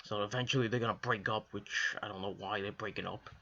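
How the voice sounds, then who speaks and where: A young man from the Northeastern US rambles casually through a wrestling review, stumbling over restarts and fillers. His voice is hoarse from a cold, lowish but bright and pushy in tone. The recording has a dull, lo-fi home sound. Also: fairly even intonation, fast. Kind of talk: casual solo commentary to camera.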